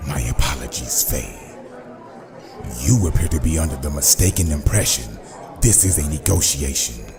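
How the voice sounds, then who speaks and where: A man acting a suave, menacing crime boss in a motion comic, with a gravelly voice and formal, coldly polite delivery. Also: rumbling low, moderate pace, music in the background. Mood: cold, controlled menace. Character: suave, menacing crime boss consolidating his underworld empire.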